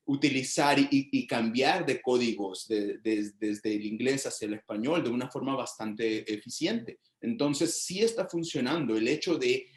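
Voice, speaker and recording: A man speaking in a roomy, echoing space, explaining his findings at a mid pitch. His delivery is measured and careful, and he often restarts a phrase before carrying on. The tone is academic and explanatory. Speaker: researcher presenting findings. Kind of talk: academic discussion. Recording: roomy echo.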